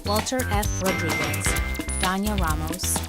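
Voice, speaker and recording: Woman announcer over loud music. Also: fairly low pitch, measured pace. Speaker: announcer reading festival credits. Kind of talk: scripted announcement.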